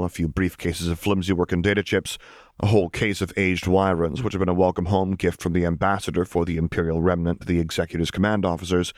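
A man's voice: sits low, no room sound, studio quality. A man reading a scripted story as an audiobook narrator.

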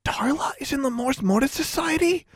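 A man voicing an anxious, indignant, loyal schoolgirl witch in an audiobook, with an insistent, breathy delivery. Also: extremely high pitch, animated, slow, dry recording, clean studio sound, pitched above the performer's own voice, full character voice. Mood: shocked.

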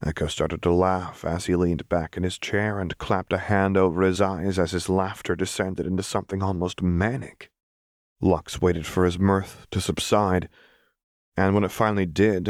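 A man with a low voice narrates an audiobook story. The recording is very dry, with next to no room in it. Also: no echo. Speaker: audiobook reader. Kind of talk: scripted fiction narration.

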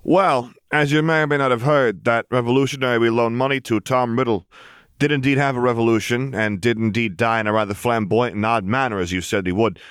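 A man's voice: medium pitch, steady tone, brisk, a bit bright, clean tone, dry recording, studio quality, pitched above the performer's own voice, natural voice. A man voicing a chatty, deferential vampire henchman in an audiobook story, reporting eagerly and informally to his boss.

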